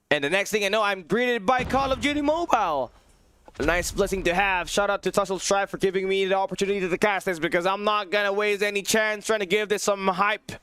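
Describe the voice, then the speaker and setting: A man giving live play-by-play commentary on an esports match, his voice tired and hoarse, excitable and rising to shouts at big plays. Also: sits high. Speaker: esports caster. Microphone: phone on a low battery.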